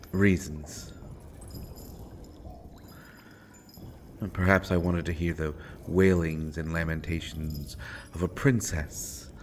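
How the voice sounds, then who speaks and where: Man playing a deep, slow, sinister assassin. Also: a little hiss or hum. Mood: brooding, mocking. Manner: brooding, deliberate, dryly mocking, dramatic pauses. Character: imprisoned sinister male assassin, menacing, sardonic, unhurried. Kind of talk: dramatic roleplay audio acting.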